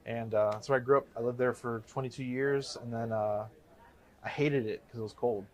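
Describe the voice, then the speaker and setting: A man's voice: mid-range voice, fairly even intonation, moderate pace. A man from the Chicago area in his thirties, a guest telling his story in a casual interview, rambling and correcting himself as he goes. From Chicago area, USA. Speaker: guest, real estate investor.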